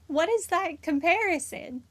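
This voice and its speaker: A woman's voice: very high voice, animated, a little slow. A woman streaming a game playthrough, reacting aloud with wry, casual commentary. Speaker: gaming streamer. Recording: game music behind the voice.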